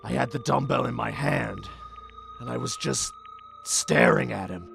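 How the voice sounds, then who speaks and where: A man playing a tough, hot-tempered, guilt-ridden young man in an anime fandub, intense and halting, moving between hushed confession and yelling. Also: mid-range voice, creaky. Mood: anguished, remorseful. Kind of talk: dramatic anime-style fandub acting. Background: faint music behind the voice.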